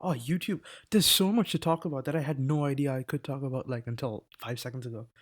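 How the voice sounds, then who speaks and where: A man's voice: mid-range voice, fast talker. A teenage boy chatting casually in a rambling, self-interrupting vlog, swearing now and then, into a desk mic. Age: teens. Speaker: vlogger. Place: indoors at a laptop.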